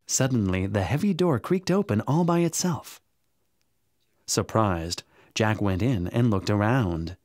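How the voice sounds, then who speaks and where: A man narrating a scripted children's story in an expressive, dramatic way. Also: on the low side, a little slow. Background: music.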